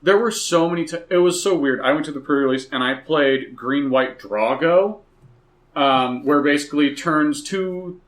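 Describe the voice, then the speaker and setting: A man chatting casually and excitedly about a card game, profane and emphatic, telling stories with gusto. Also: a little high, moderate pace. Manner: profane, emphatic, animated storytelling. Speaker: podcast co-host.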